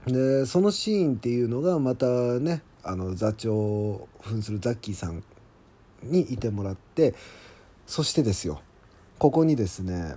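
Male podcast host and scriptwriter, soft mellow tone, mid pitch, chatty admiring solo commentary. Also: sing-song, moderate pace. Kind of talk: casual solo behind-the-scenes commentary.

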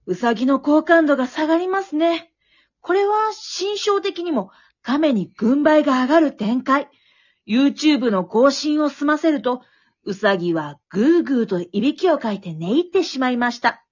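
A woman playing an excitable, wisecracking storyteller, narrating a comic radio drama like play-by-play with dramatic flair. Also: sits high, normal speed. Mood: mock astonished. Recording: dull, lo-fi recording.

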